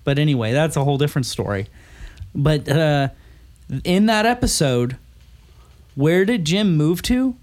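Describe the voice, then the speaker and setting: A man's voice: fairly high pitch, expressive, unhurried, pauses often, darkish tone. A man co-hosting a podcast in casual chat over beers, rambling through a story and laughing often. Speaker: podcast co-host.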